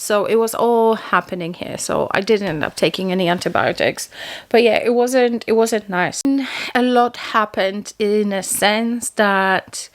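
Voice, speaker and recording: A woman's voice: mid-range voice, a bit bright. A middle-aged woman, chatting to camera as a book vlogger in a rambling way with many restarts. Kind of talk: casual chat to camera.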